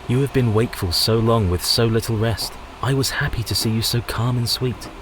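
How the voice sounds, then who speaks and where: An actor playing a protective, troubled young Victorian husband in a radio play, formal and earnest. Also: male voice, medium pitch, steady tone, a little fast, noisy background, lightly acted. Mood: tender, grave.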